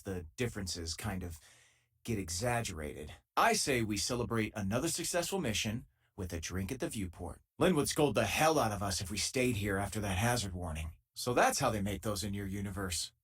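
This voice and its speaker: A man voicing an easygoing, wry spacefaring crewmate in a video game, with a husky voice and a casual, conversational delivery. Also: fairly low pitch, expressive, moderate pace, a bit bright. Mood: casual, friendly. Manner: casual, conversational, dryly joking. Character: easygoing, wry male spacefaring crewmate, loyal and self-deprecating. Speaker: game companion character. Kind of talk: video game voice lines, naturalistic acting.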